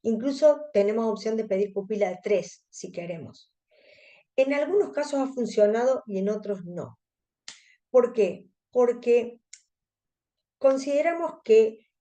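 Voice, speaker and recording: A woman's voice: medium pitch, dark tone. A woman with a Cordobese accent from Argentina, presenting an educational webinar and explaining things to fellow specialists. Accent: Cordobese Argentine Spanish. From Córdoba, Argentina. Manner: explanatory, addressing colleagues. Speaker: presenter, contact lens specialist.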